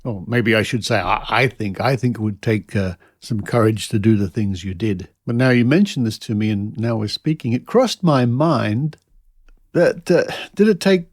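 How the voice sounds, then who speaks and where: A man interviewing as a podcast host, phrasing his thoughts in a measured, reflective way. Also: fairly low pitch, dry recording, studio quality. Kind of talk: conversational interview.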